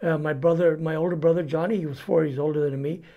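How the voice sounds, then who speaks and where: A man in his seventies with a Californian accent shares a personal story as an interview guest. His voice sits slightly high for a man. He speaks plainly, starting and stopping as he goes. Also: fairly even intonation, quick pace, muffled recording. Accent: Californian American. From California, United States. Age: 70s. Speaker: interview guest giving testimony. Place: indoors before a cloth backdrop.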